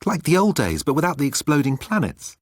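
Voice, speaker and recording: A man's voice: a little high, wildly swooping pitch, fairly quick, no echo, studio quality, pitched above the performer's own voice. A man voicing a restless, fussy human father who is eager to get away. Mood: hopeful.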